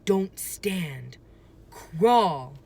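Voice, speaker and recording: A woman's voice: on the low side, lively intonation, very slow, gravelly, husky, full character voice. A woman voicing an anxious young boy in a storybook, urgently calling out warnings to his sister. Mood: tense, careful. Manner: urgent exclamations, shouting and warning.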